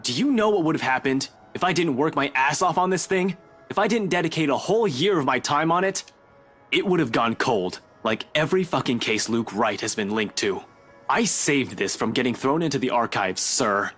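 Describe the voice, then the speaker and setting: Husky, expressive young detective. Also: male voice, medium pitch, normal speed, faint music behind. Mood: angry, defensive. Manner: indignant, pleading. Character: young hot-headed male police detective, defiant and sarcastic. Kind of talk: visual novel voice acting.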